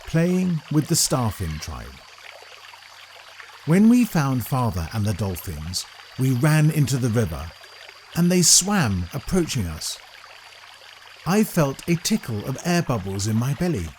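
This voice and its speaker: A man narrating a scripted children's story in a deliberate, expressive storytelling manner. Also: medium pitch, slight background noise.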